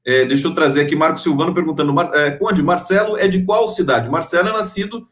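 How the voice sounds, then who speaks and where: A man's voice: on the high side, fast, fairly bright tone, echoey room. A man hosting a live-stream interview, effusive and full of fillers, reading out viewer comments. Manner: effusive, many fillers and restarts.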